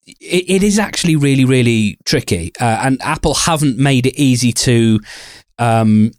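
A man talks casually as a podcast co-host. He has a middle-pitched voice and a measured, slightly tentative way of explaining himself, recorded with very little room sound. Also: expressive, no echo, clean studio sound. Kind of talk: casual discussion about podcasting.

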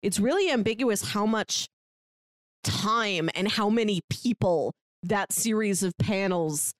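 A woman co-hosting a podcast, casually discussing a book in a reflective, analytical way, pausing to find words. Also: mid-range voice, dry recording, studio quality. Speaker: podcast co-host.

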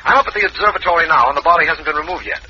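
An actor playing a jovial, teasing district attorney in an old-time radio mystery, hearty and brisk. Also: male voice, on the high side, slight background noise, close to an everyday voice. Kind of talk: 1940s radio mystery acting. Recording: tinny, muffled old radio recording.

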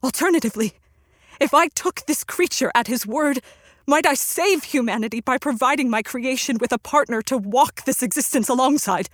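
A woman's voice: high voice, dry recording, clean studio sound, lightly acted. Woman playing an earnest, troubled young scientist. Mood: doubtful, reflective. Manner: formal, literary, measured. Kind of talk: radio play acting and first-person narration.

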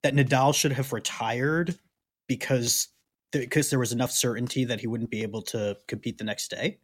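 A man's voice: medium pitch, fairly even intonation, moderate pace, no echo. A man co-hosting a podcast, discussing sports casually in a blunt, opinionated way. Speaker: podcast co-host.

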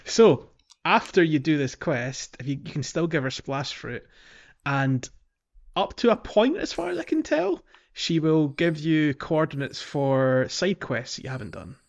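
A man's voice: fairly high pitch, very animated, unhurried, gravelly, dull audio. A man hosting a podcast, talking casually through a video game in a hesitant, explanatory way with colloquial British phrasing. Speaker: podcast host.

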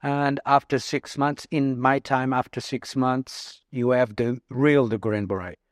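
A man in his early fifties from Portugal, a native Portuguese speaker, answering interview questions in halting English with many pauses and fillers. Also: mid-range voice, fairly even intonation, no room sound, clean studio sound. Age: 50s. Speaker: guest.

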